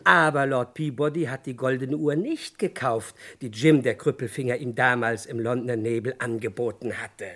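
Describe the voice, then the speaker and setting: A man's voice: medium pitch, normal speed, few pauses, a bit bright, lightly acted. An actor playing a pedantic, exasperated amateur sleuth in a comic radio play, insisting on his theories. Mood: insistent, exasperated. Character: insistent, know-it-all amateur sleuth Herr Mieder. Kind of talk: comic radio crime drama acting.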